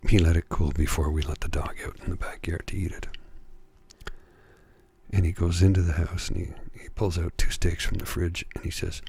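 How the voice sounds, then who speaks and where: A middle-aged man, a little hoarse, telling a rambling story in slow, soft-spoken ASMR style. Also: deep voice, fairly even intonation. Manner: slow, reflective, rambling with fillers. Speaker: ASMR creator. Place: home at night. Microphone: near-field microphones, close.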